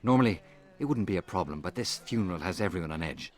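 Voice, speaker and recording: Low-voiced worried assassin informant. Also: male voice, expressive, quick pace, a little mellow. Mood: anxious, confiding. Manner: earnest, confiding. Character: worried male assassin informant asking favors of friend. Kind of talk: video game character acting.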